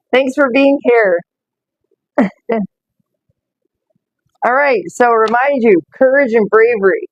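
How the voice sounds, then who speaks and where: Woman, slightly rough voice, slow unhurried talk, casual card reading, dull lo-fi audio. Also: fairly high pitch, no room sound. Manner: slow, gentle, greets viewers by name. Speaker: oracle card reader.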